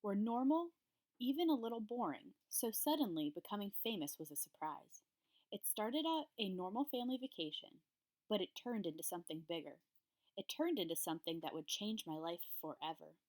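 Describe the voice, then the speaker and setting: A woman, a primary school teacher reading a children's story aloud to her pupils. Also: medium pitch. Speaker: third grade teacher.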